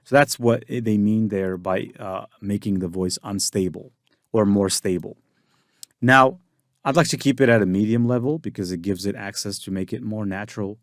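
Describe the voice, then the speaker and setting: Low-voiced man talking through a software tutorial. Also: moderate pace, no room sound, clean studio sound. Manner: casual explaining with frequent fillers and restarts. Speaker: tutorial instructor.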